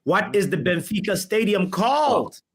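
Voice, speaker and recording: An excitable young man runs a football quiz among friends, announcing each question and the standings with hype. His voice sits a little high, and he laughs easily between lines. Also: moderate pace, husky, faint music behind. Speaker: quiz host. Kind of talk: casual quiz chat with friends.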